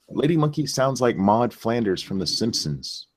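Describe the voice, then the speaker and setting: A man's voice: medium pitch, very animated, unhurried, creaky, breathy edge. A man hosting a livestream in blunt, crude, casual chat. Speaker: livestream host.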